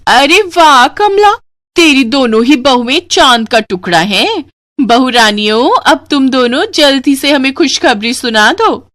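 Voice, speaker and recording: Nosy cartoon neighbour aunty , female narrator acting, gushing, high, drawn-out exclamations. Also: lively intonation, fairly bright tone, no echo, studio quality.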